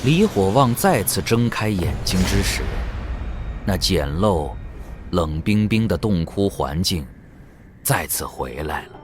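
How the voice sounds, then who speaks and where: A man's voice: deep voice, sing-song, takes it slowly. A man narrating an audio drama in a dramatic, scripted storytelling style. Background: background music.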